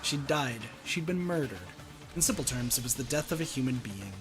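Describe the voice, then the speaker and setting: A man's voice: mid-range voice, animated, brisk, over music. A young man reading visual novel narration aloud in a dramatic style.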